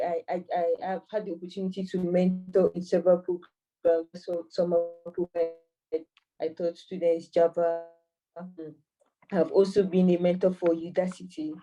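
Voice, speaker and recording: A woman's voice: fairly low pitch, level tone, moderate pace, dark tone, far from the mic, faint music behind, rough recording. A woman in her twenties from Cameroon, an AI mentor answering interview questions hesitantly with many fillers and restarts. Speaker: AI mentor and master's student.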